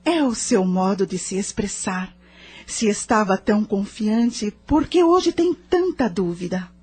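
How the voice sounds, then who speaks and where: A woman playing a calm, reassuring nurse of the spirit world in an audio drama, soothing, composed and gently probing. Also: mid-range voice, a little slow, husky.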